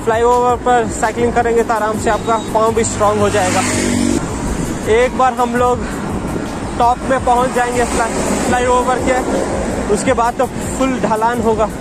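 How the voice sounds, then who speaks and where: Man, 30s, cheerful cycling vlogger, chatty, outdoors on the road, action camera mic, loud music bed. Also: very high-pitched, flat delivery, normal speed, over a noisy crowd, rough recording. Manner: chatty, cheerful, mixing in English phrases. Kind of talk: casual vlog narration while riding. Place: outdoors on a road, riding a bicycle. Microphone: helmet or handlebar action camera, later selfie at arm's length.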